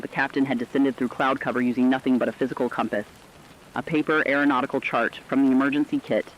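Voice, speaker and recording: A man's voice: mid-range voice, close to an everyday voice. A man playing a somber, humane radio correspondent, telling survivors' stories in a reflective, scripted report. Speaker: radio broadcaster. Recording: dull, lo-fi radio sound.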